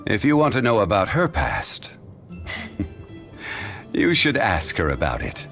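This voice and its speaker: An actor voicing an ancient, dignified male sage for a game, in formal, measured, unhurried speech. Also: fairly low pitch, wildly swooping pitch, a bit bright, faint music behind, heavily acted. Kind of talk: studio game voice lines.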